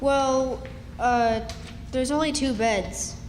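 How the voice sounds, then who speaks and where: A woman's voice: sits high, takes it slowly, darkish tone. A woman playing a young, plain-spoken country boy, matter-of-fact and rustic, in a stage radio drama. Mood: earnest, hesitant. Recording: noisy, echoey hall stage recording.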